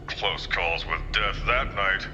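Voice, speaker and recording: Brief: a showy TV anchor, low voice, big swings, echoing hall. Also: male voice, takes it slowly, bright, forceful tone, highs cut off, a little hiss or hum, heavily acted. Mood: dramatic. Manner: dramatic, declaiming. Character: male TV newsman, dramatic presenter. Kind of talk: broadcast-style narration.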